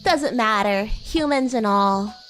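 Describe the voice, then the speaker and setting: Woman acting a high-pitched bratty demon girl. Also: a bit bright, faint music behind. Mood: haughty, grudging. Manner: teasing, haughty, halting and flustered. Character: bratty flustered teenage demon girl class president, secretly fond. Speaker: VTuber streamer. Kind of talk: livestream roleplay, anime-like character acting.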